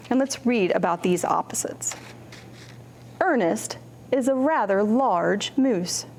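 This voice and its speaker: A woman hosting a children's storytime, reading and explaining in simple, deliberate phrases for young children. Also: medium pitch, darkish tone.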